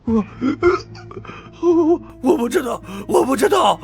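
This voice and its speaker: Theatrical, swooping mad scientist. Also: male voice, extremely high pitch, expressive, slow, gravelly, heavily acted. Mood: panicked, pleading. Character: smug fanatical male mad scientist villain, cowardly when threatened. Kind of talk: theatrical animated web drama dubbing. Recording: loud background music.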